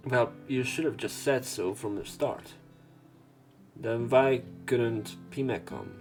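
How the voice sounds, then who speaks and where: A man's voice: mid-range voice, slow, darkish tone, faint music behind. A man hosting a let's play, reading a visual novel's narration and dialogue aloud.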